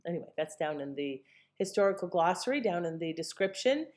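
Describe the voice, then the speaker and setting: Relaxed woman rambling casually. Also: fairly low pitch, a little fast. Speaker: podcast host presenting old radio episodes. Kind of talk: casual spoken commentary.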